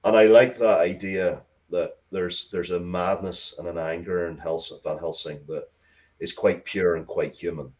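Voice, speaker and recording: A man with a very low voice talks casually as a guest writer in an interview. He rambles in a conversational, blunt way, spinning out explanations of his own creative work. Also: fairly even intonation, a little slow, darkish tone. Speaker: interviewed writer of comics and stories.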